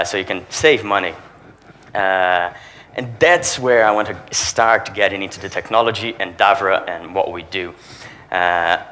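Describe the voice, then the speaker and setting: A man's voice: fairly low pitch, fairly bright tone. A man who speaks Portuguese as his first language, with a Portuguese accent, giving a conference presentation as a sales manager and explaining with rhetorical questions to the audience.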